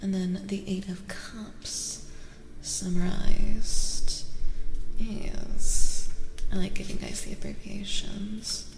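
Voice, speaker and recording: A woman streamer speaking in soft, soothing ASMR, drifting between a breathy whisper and a hushed voice, close to the microphone. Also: mid-range voice, wildly swooping pitch, slow, reverberant, background noise. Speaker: streamer hosting a live tarot reading.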